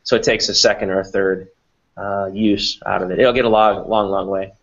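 Low-voiced man on a dull video call. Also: little pitch movement, moderate pace, vocal fry. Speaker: company president, interview guest. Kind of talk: explanatory interview answers on a video call. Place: small office room, indoors. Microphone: computer mic on a video call.

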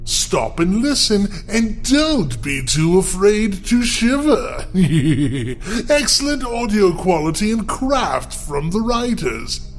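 A man's voice: high-pitched, big pitch swings, soft background music, heavily acted. An actor playing a gleefully creepy, theatrical horror librarian who teases listeners as he hosts a podcast. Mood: gleeful, teasing. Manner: theatrical, slow, cackling. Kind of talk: in-character horror podcast hosting.

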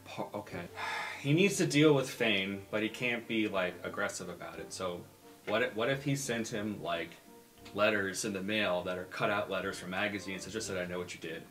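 A man's voice: fairly low pitch, normal speed, a bit bright, faint music behind. A man playing a tabletop game, casually describing what his character will do.

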